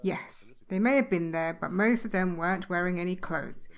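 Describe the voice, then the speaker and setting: A woman's voice: lowish voice, normal speed, clear, resonant tone, lightly acted. A woman acting a chatty, blunt, down-to-earth wife in a comedy audio drama, plain-spoken and chiding. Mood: exasperated.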